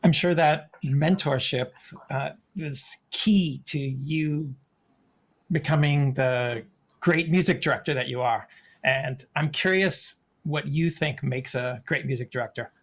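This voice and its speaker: A man's voice: fairly high pitch, unhurried. A man hosting a video call interview, asking curious and admiring questions. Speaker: host.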